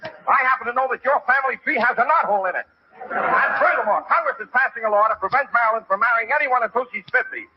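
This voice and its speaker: A very high-pitched gossip newscaster. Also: male voice, quick pace. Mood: urgent, scolding. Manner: urgent, punchy announcing. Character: rapid-fire snappy gossip newscaster parody. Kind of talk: 1940s radio comedy, mock news bulletin. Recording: noisy, muffled old radio broadcast.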